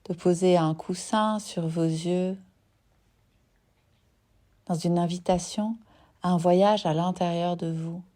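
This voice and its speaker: A woman leads a guided yoga nidra relaxation as the teacher, soothing and unhurried, leaving long pauses between short phrases. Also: lowish voice, fairly even intonation, mellow. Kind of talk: guided meditation.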